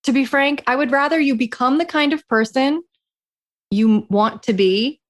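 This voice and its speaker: A woman's voice: high-pitched, normal speed. A woman co-hosting a podcast as a coach, chatting casually in a frank, emphatic way. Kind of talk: casual chat between co-hosts.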